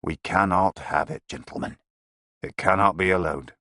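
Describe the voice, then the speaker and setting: A man's voice: sits low, bright, forceful tone, no room sound. A man doing a stern Scottish inspector. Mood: grave. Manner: grave, measured and authoritative.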